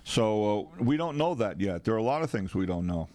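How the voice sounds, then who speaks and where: A man's voice: lowish voice, animated, fairly quick, dark tone. An older American man co-hosting talk radio, chatting off the cuff with wry, hesitant interjections. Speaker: radio show co-host. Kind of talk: casual off-the-cuff chat. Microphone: close studio microphone.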